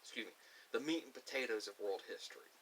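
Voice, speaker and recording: Bright-voiced American man, halting delivery. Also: medium pitch, expressive. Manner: halting delivery with pauses mid-sentence. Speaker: video host. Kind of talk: rambling monologue to camera about history. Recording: hissy, distant microphone.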